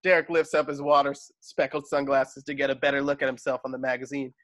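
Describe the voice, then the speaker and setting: A man's voice: medium pitch, little pitch movement, quick pace, a bit bright. A man narrating screenplay stage directions expressively in a table read over a video call. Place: indoors at home on a video call. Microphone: video call microphone.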